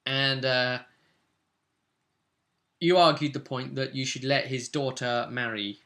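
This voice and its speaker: A man with a bright, forward voice works as game master. He recaps a tabletop role-play adventure conversationally, like a storyteller who slips into quoted dialogue. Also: medium pitch, fairly even intonation, slow.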